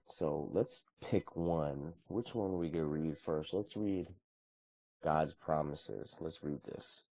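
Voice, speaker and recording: Man in his thirties, extremely deep voice, earnest, relaxed, casual vlog talk. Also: slow pace, creaky, no room sound, low-quality recording. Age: 30s. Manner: earnest, relaxed, conversational with frequent restarts. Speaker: vlogger.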